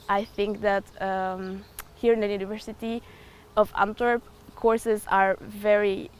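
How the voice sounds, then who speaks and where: Woman, 20s; thoughtful interview answers with fillers, average pitch, slight background noise. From Ecuador. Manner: thoughtful, hesitant with frequent fillers. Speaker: student.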